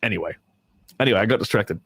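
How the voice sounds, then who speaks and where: A man's voice: fairly low pitch, fast, a bit bright, dry recording. A man who performs in adult films hosting a casual livestream, talking to his chat in a rambling, profane, joking way.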